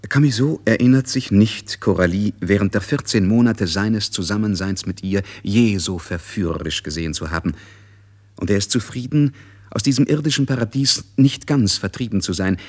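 A man narrating a literary radio play in a measured, detached manner. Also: on the low side, a little mellow, breathy edge.

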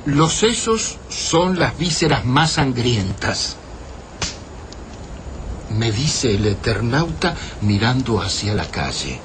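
An Argentine actor playing a pensive writer who narrates a radio drama in a reflective, measured, literary style. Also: male voice, medium pitch, sing-song. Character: pensive male writer recounting a time traveler's tale. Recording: clearly noisy radio drama ambience.

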